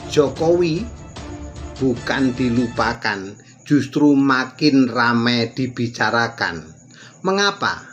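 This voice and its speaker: A man narrating scripted political commentary, posing rhetorical questions and answering them himself. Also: medium pitch. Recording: dull audio with faint music.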